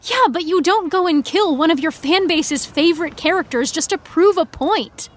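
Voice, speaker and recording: A young woman playing a blunt, disillusioned eighteen-year-old fan writer in an audio drama, with a sardonic, halting delivery. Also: very high-pitched, expressive. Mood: blunt, exasperated. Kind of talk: naturalistic audio drama dialogue.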